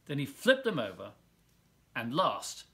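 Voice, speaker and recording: A man reading a children's picture book aloud as a volunteer storyteller, expressively, with drawn-out words and dramatic pauses. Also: mid-range voice, wildly swooping pitch, creaky, sharp tone.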